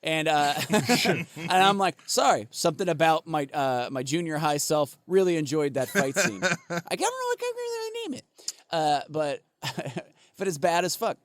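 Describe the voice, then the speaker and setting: A man's voice: on the high side, very animated, moderate pace, fairly bright tone, dry recording. Rambling, profane man on a podcast. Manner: rambling, profane, laughs often, lots of fillers. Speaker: podcast co-host. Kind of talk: casual chat.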